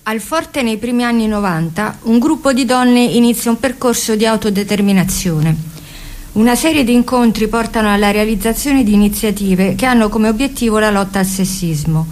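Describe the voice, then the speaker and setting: A woman's voice: fairly high pitch, normal speed, darkish tone. Woman reading a radio narration. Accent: Italian. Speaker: reader. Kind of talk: read-aloud narration for radio.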